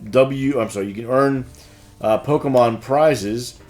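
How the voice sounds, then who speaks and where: Male host, mid-pitched, casual and easygoing announcement read with hesitant banter, light music underneath. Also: unhurried, a little mellow. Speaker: host of a game shop news show.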